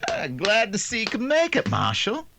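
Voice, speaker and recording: A man playing a sly, gloating outlaw killer in a western radio drama, mocking and menacing, with a husky, gravelly voice. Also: sits high, huge pitch swings, normal speed, noisy background, heavily acted.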